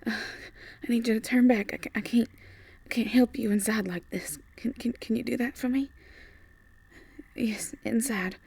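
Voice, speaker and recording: A woman playing a tender, worried Southern US farmer sweetheart in an audio roleplay, in a breathy, husky voice that is often near a whisper, with a soft, halting drawl. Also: a little high, dry recording, exaggerated voice. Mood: alarmed, then anxious, soothing. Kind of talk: ASMR audio roleplay acting.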